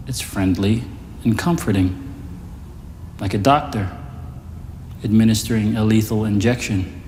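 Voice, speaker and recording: An actor playing a calm, condescending mastermind of evil, delivering a measured, lecturing dramatic monologue. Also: male voice, fairly low pitch. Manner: measured, lecturing, quietly superior. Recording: echoey hall-like reverb, faint noise.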